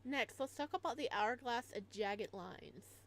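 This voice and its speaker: A woman's voice: on the high side, expressive, measured pace, fairly bright tone. A woman live-streaming a game in a casual style, reading on-screen text aloud and adding chatty asides.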